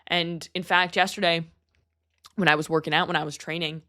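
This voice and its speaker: A woman's voice: lowish voice, flat delivery, a little fast, sharp tone. A woman of about thirty hosting her own podcast, talking earnestly and candidly in a personal monologue with casual swearing. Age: 30s. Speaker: podcast host.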